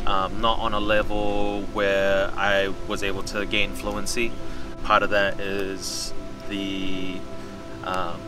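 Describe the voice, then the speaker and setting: A young man with a lowish, very even voice reflects in a casual, halting, slangy way. He is recorded outdoors in a park, a little far from the mic, with music underneath. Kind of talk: reflective personal interview, casual speech.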